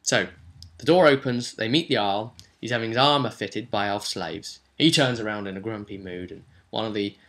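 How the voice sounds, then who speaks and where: A man casually recapping a tabletop roleplaying session as game master, telling it in an animated storytelling way. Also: on the low side. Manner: animated storytelling, slipping into quick character quotes.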